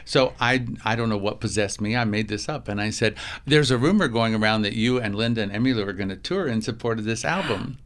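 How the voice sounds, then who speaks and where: A man's voice: fairly low pitch, fairly quick, hardly any pauses. A man hosting a radio interview in a studio, chatty and prone to telling stories, with frequent restarts. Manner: chatty, storytelling, lots of restarts. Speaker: radio host.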